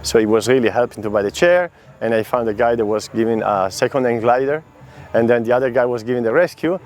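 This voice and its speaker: A man, a paragliding instructor, telling a story in halting English in a documentary interview. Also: mid-range voice, darkish tone.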